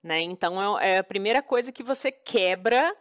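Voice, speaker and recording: Woman co-host, mid-pitched, chatty and animated, dry close recording. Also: moderate pace, dry recording. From Brazil. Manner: chatty, interjecting, animated storyteller. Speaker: podcast co-host. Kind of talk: casual storytelling chat.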